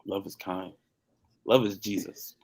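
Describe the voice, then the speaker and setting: A man answering on a panel over a video call, loose and joking, his loudness swinging up and down. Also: deep voice, steady tone, measured pace.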